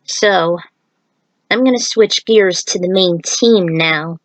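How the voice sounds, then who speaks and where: Female amateur narrator, slow-paced, dry close sound, story reading with informal asides. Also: on the low side, dry recording, poor audio. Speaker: narrator reading own fan fiction.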